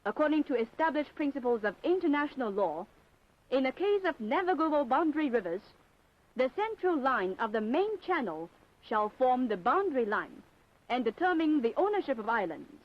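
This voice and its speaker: A woman's voice: sits high, big pitch swings, moderate pace. A woman narrating a scripted propaganda documentary in a formal, emphatic, declamatory style. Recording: dull 1960s film soundtrack.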